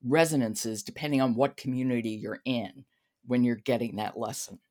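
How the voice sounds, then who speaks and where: Very low-voiced woman hosting a podcast. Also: fairly even intonation, moderate pace, darkish tone. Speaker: podcast host. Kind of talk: conversational book talk and interview.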